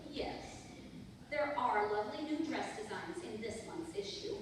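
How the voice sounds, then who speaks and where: A woman's voice: mid-range voice, expressive, a little slow, lightly acted. A woman playing a chirpy, coaxing receptionist nurse in old-time radio comedy style. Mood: chatty. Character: chirpy, soothing female receptionist nurse, gossipy. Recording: echoey hall from far off, noisy.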